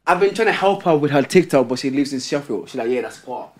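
A man's voice: medium pitch, lively intonation, quick pace, a little mellow, slight background noise. A young man, co-hosting a podcast. His voice sits mid-range but moves a lot. His chat is slangy and full of "bro". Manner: slangy, keeps saying "bro". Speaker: podcast co-host. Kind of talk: casual chat.

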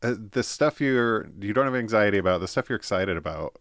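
A man with a deep, low voice hosts a casual interview and asks loose, rambling questions. He laughs often and keeps a relaxed tone. The sound is dry and close. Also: a little fast, dry recording. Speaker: podcast host.

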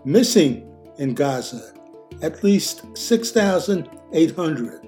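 A man's voice: fairly high pitch, very animated, takes it slowly, music in the background. An older American man reading a scripted podcast introduction as its host.

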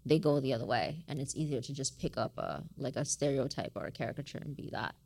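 A woman with a low-pitched voice talks as a guest in a casual, reflective conversation. She sounds thoughtful and informal, slipping easily into slang. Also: quick pace, gravelly, darkish tone, breathy edge. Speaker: guest author. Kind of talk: casual reflective interview talk.

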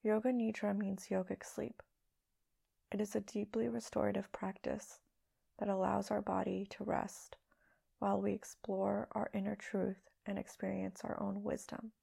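A woman leading a guided meditation as a podcast host, speaking in a hushed, soothing, unhurried way with long pauses. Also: mid-range voice, little pitch movement, gravelly, husky.

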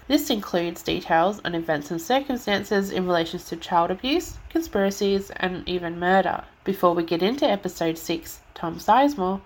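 A woman's voice: medium pitch, low-quality recording. A woman podcast host with a stuffy nose reads a scripted rundown and sometimes stumbles over names. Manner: careful reading with occasional stumbles over names. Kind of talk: reading a scripted true-crime rundown.

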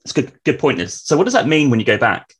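A man hosting a podcast, chatting casually and asking relaxed follow-up questions. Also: medium pitch, fast talker, breathy edge. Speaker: podcast host. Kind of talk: casual interview chat.

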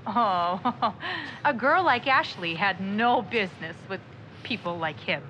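A woman's voice: mid-range voice, expressive, slow pace, pushed and bright, highs cut off, a little hiss or hum. A woman playing a defensive, indignant, prejudiced journalist in an audio drama, with a haughty, dismissive manner. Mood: dismissive, defiant.

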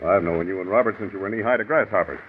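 Male actor as folksy mature sheriff, hesitant, soothing, lower pitch, old radio drama, faintly noisy broadcast. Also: racing, narrow, tinny audio, lightly acted. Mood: apologetic, hesitant. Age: middle-aged or older. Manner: hesitant, hemming, placating, folksy. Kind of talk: 1950s radio drama acting.

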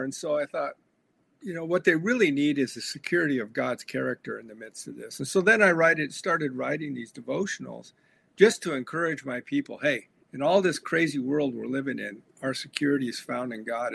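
A man, a pastor and author, earnestly explaining his faith in a conversational interview, pausing on fillers. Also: a little high, vocal fry, a bit bright, slightly rough. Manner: earnest, reflective, with frequent fillers.

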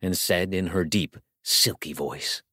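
A man's voice: sits low, unhurried, bright, forceful tone, no room sound, studio quality. A man narrating a comic story as a scripted audiobook, theatrical and wry, savoring his phrases.

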